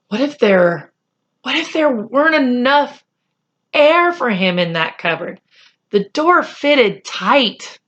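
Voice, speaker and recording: Slow-paced woman reading a children's story. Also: fairly high pitch, fairly bright tone, lo-fi sound. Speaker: children's book reader. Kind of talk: read-aloud storytelling of a children's chapter book.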